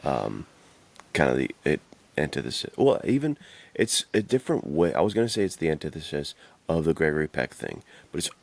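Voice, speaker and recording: A man with a strikingly deep voice talks in a casual podcast style. His tone has a clear gravelly creak. He reflects out loud, informally working through his thoughts as he speaks. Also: sing-song, brisk, no room sound. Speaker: podcast co-host.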